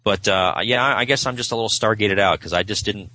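A man with a low-pitched voice and a bright, forward edge. He chats casually as a podcast guest, often rephrasing as he goes. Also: fast talker. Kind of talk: casual chat.

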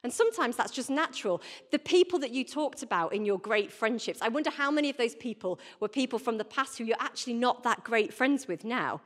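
A woman's voice: on the high side, animated, brisk, hardly any pauses. A woman in her thirties gives an informal, conversational sermon as a church speaker, often putting rhetorical questions to her listeners. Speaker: church warden giving a talk. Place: large indoor church. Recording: reverberant hall, live talk.

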